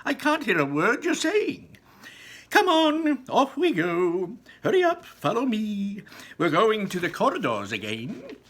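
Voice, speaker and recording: A man voicing an impatient, eccentric male candy maker, exclaiming and rushing through his lines in a storybook reading. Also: sits high, wildly swooping pitch, a bit bright, voice pushed up, heavily acted. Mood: excited. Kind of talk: storybook read-aloud.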